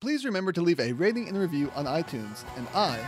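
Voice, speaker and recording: A man's voice: on the high side, big pitch swings, fast talker, soft background music. A man hosting a solo podcast, talking in a chatty, enthusiastic and casual way. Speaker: podcast host.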